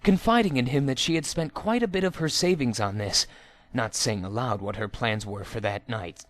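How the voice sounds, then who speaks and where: A man's voice: medium pitch, moderate pace. A man narrates a written story in a mid-range voice. His delivery is theatrical and dramatic, like an amateur storyteller performing the text as he reads it aloud.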